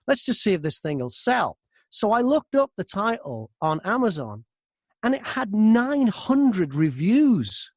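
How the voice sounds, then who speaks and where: A man's voice: high-pitched, sing-song, unhurried, no echo. A man who narrates audiobooks, chatting casually as an interview guest and acting out his thoughts and messages as he tells stories.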